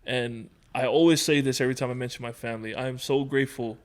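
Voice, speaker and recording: A man's voice: mid-range voice, quick pace. A man from Hawaii, probably in his thirties, talking as a podcast guest in an easygoing, rambling storytelling way. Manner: relaxed, storytelling with fillers. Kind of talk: casual conversation.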